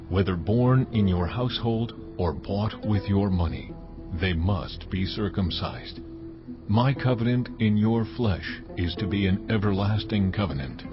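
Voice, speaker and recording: A very low, majestic God acted by a man declaims in a dramatized scripture recording. The delivery is stately and solemn. The sound is a little dull, with clear music behind it. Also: lightly acted. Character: almighty God, solemn, commanding, majestic male deity.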